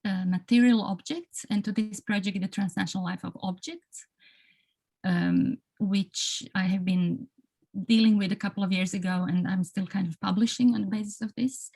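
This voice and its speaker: A woman's voice: fairly low pitch, mellow, slightly rough. Hesitant woman with a Croatian accent. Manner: hesitant, with many restarts and fillers. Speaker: panelist. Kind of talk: academic talk on a video call. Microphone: video call.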